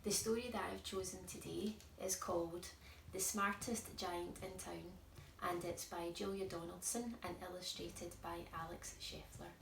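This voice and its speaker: A woman storyteller narrating a picture book for young children in an expressive, emphatic way. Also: fairly low pitch, normal speed, gravelly, slight background noise. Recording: echoey room, distant mic.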